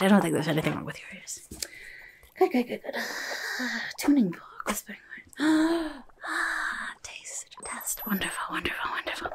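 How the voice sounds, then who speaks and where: Goblin nurse for ASMR roleplay, female performer: mostly whispered, blunt, fussy and erratic, very close to the mic. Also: a little high. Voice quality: breathy whisper. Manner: fussy, blunt, repeats words. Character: rude, chaotic goblin nurse examining a patient. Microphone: close microphone.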